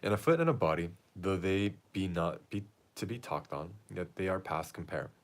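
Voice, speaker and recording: A man's voice: sits low, moderate pace, close to an everyday voice. A man reading the part of a weary, teasing old female nurse in a Shakespeare play, grumbling and exclaiming. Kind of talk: amateur read-aloud of Shakespeare play.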